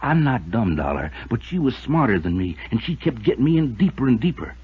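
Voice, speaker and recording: Casting: cornered ranch foreman turned killer, very rough husky voice, wildly swinging pitch, agitated folksy pleading, vintage radio drama. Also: very animated, fairly quick. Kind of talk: old-time radio drama acting. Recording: dull lo-fi old radio recording.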